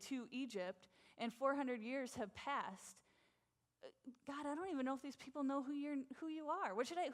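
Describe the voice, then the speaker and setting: Woman voicing fretful stammering prophet, high-ish, hesitant, casual retelling. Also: natural voice. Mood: worried. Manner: hesitant, stammering, full of fillers and restarts. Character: anxious, doubtful male prophet, pleading.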